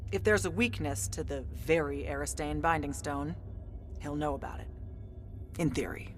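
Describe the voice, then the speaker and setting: A stern woman commander played by an actress in a fantasy video game. Her voice is very low and curt, with faint music underneath. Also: moderate pace, close to an everyday voice. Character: stern, brisk female battlemage commander. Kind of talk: game cutscene acting.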